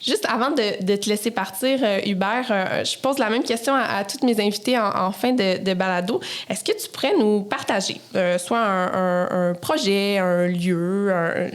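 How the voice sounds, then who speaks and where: A woman's voice: medium pitch, moderate pace, few pauses. Female podcast host, Quebec French accent, mid-range voice, conversational interview delivery.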